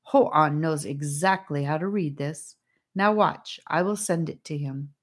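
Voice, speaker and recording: A woman voicing a playful, mysterious girl from a magic kingdom in an audiobook, giggly yet briskly serious. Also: low-pitched, breathy edge. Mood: assured.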